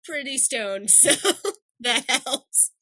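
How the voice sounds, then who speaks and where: Bright giggly woman co-host. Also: sits high, slow, no echo. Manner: giggly, playful banter. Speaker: podcast co-host. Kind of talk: casual chat.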